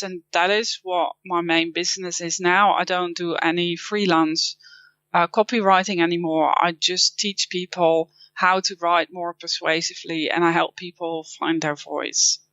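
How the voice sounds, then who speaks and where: Guest interview: woman, Dutch accent, bright and clear, dry close sound, slightly dull lo-fi audio. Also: lowish voice, no echo. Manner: thoughtful, with frequent restarts and light laughs. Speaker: guest, copywriting teacher. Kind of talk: casual interview chat.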